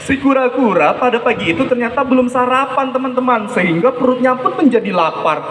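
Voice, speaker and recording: A woman tells stories to children with a very lively, swooping delivery. She often puts questions to her listeners and stretches key words, in an echoey hall with faint noise behind. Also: a little high, fairly quick. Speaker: children's storyteller. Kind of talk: animated interactive storytelling to children.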